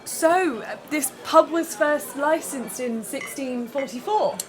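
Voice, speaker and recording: A woman's voice: sits high, slow pace, rough recording. An actress playing a sheltered, proper young Victorian lady with an upper-class English accent, formal and hesitant, in a period radio drama. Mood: wondering.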